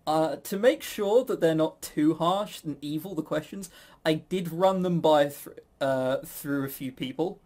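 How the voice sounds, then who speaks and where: Higher-voiced man in rambling, jokey banter. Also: unhurried, darkish tone. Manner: rambling, jokey, self-mocking, swears casually. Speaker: podcast co-host and quizmaster. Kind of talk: casual banter.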